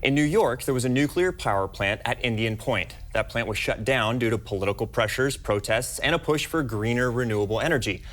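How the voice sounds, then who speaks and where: A man's voice: mid-range voice, lively intonation, fairly quick, few pauses, fairly bright tone. An American man giving a scripted, explanatory news report. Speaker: news fact-check reporter.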